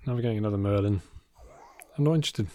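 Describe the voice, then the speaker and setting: A man co-hosting a casual film podcast, wry and dry-humoured, with hesitant fillers between quick remarks. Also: fairly low pitch, warm tone. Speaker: podcast co-host.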